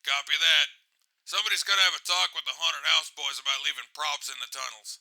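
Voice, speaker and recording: Man playing a cocky, very bright-voiced security guard. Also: on the high side, fairly even intonation, a little fast, rough recording. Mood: casual, dutiful. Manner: casual, wisecracking. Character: cocky, joking young male security guard. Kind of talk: radio chatter in an audio drama.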